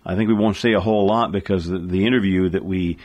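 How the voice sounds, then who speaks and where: A middle-aged or older man co-hosting a radio talk show, explaining things in a casual, plain-spoken, measured way. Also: deep voice, steady tone, a little mellow, muffled recording.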